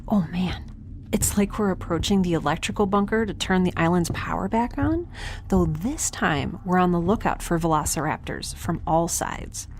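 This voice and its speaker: An actress playing a curious young scientist in an audio drama, chatty, awkward and earnest in a naturalistic way. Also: female voice, fairly low pitch, slight background noise, close to an everyday voice. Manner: chatty, awkward, earnest, rambles when nervous. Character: curious, nerdy, rambling young female scientist heroine.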